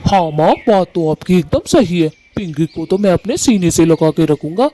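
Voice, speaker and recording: A man voicing Kalu, a harsh, bossy male bird husband in a cartoon who scolds loudly. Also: high voice, lively intonation, normal speed. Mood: pleased. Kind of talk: Hindi cartoon voice acting.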